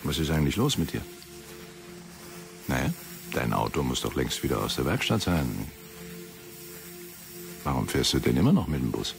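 A jovial, needling headmaster in a radio play. His deep voice creaks and teases, pausing for effect before each point. Faint music runs underneath. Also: big pitch swings, exaggerated voice. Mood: smug, probing. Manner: chuckling, hesitant, teasing, with meaningful pauses. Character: jovial, smug, needling middle-aged male school headmaster.

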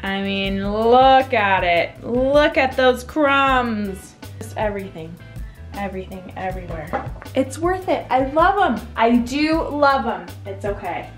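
A woman's voice: a little high, expressive, slow. A woman in her thirties chatting casually to viewers as she vlogs in her home, asking them questions in a conversational way. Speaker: vlog host. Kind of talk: casual chat to camera while cleaning. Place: inside a home, kitchen and laundry area. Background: background music.

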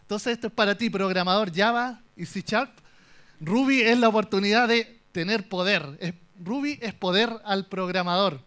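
A young Chilean man with a fairly high voice gives a conference talk from the stage. He jokes his way through the story, lively and playful, with a Chilean accent throughout. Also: normal speed, smooth. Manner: animated, joking storyteller. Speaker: conference speaker. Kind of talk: humorous tech talk.

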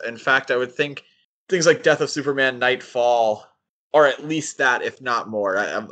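A man co-hosting a podcast in casual discussion, speaking in a halting, self-deprecating way. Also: mid-range voice, a bit bright. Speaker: podcast co-host. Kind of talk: casual comic-book discussion.

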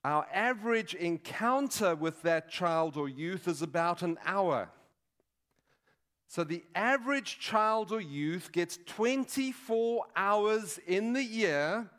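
A man in his sixties preaching a sermon in a deliberate, emphatic way, pausing between short phrases. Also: high-pitched, a bit bright. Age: 60s. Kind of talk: sermon with statistics and teaching. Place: large dim auditorium stage at a lectern.

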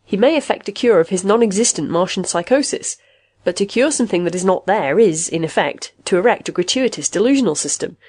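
A woman's voice: mid-range voice, lively intonation, darkish tone, no room sound, close to an everyday voice. Woman reading a dry, authoritative male expert. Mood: dryly explaining. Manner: measured, dry explanations. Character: authoritative, matter-of-fact male technical expert.

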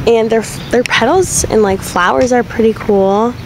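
A woman's voice: fairly high pitch, normal speed, noisy background. A young woman in her twenties chatting upbeat to a handheld camera on a road trip vlog. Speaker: vlogger. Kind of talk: casual travel vlog. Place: indoors and outdoors on a road trip.

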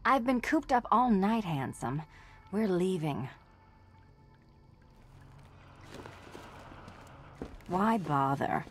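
A sly, flirtatious cat burglar. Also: female voice, medium pitch, sing-song, unhurried, slight background noise. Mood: flirtatious, bored. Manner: teasing, purring, sardonic. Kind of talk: video game character acting.

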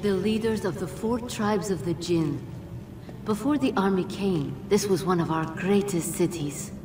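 Woman playing a low-voiced, solemn djinn guardian. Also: natural voice. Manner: measured, formal, grave delivery. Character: solemn, regal, ancient female djinn guardian. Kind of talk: dramatic video game cutscene acting. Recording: roomy echo, distant, music underneath.

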